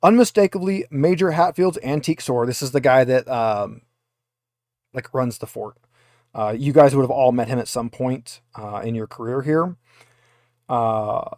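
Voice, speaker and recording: A man's voice: medium pitch, no room sound, clean studio sound. A man working as game master, informally narrating and explaining a tabletop roleplaying game.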